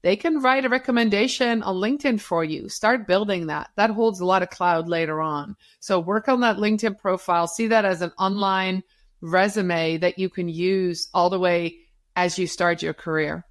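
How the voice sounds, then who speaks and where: A woman's voice: medium pitch, moderate pace. A middle-aged woman whose first language is Dutch, giving career advice as a guest in an interview, stressing points by repeating them. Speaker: guest, social media business owner.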